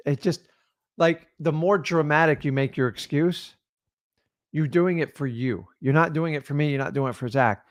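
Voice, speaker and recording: A man's voice: a little high, no room sound, studio quality. A man co-hosting a real estate talk, bluntly lecturing viewers to drop their excuses. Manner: blunt, preachy, no-nonsense. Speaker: real estate wholesaling co-host.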